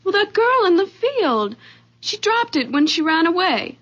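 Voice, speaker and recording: A woman's voice: very high voice, sing-song, unhurried, a bit bright, exaggerated voice. An actress playing an uneasy, earnest young woman, a loyal friend, polite but firm, in vintage radio drama. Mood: puzzled. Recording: dull old radio recording.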